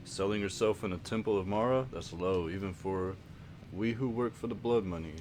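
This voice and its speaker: An actor playing a dry, laconic adventurer mercenary in a fantasy game, terse and unimpressed. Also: male voice, lowish voice, normal speed, darkish tone, slight background noise, natural voice. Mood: chiding. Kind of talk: fantasy video game dialogue. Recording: music behind the voice.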